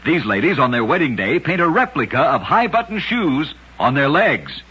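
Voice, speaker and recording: Man, vintage radio narrator, slightly high voice, lively intonation, dramatic scripted storytelling, lo-fi old recording. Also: moderate pace, slightly rough.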